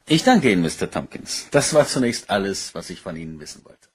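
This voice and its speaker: Probing, husky police inspector. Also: male voice, sits low, sing-song, exaggerated voice. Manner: measured, probing, courteous questioning. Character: probing, polite male Scotland Yard police inspector. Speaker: actor. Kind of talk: crime radio play dialogue.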